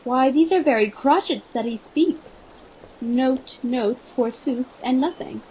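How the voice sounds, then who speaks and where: Courtly prince played by a woman, fairly high voice. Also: slow pace, heavy background noise. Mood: teasing impatience. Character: Don Pedro, courtly prince coaxing a singer. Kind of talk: Shakespearean amateur radio theatre acting.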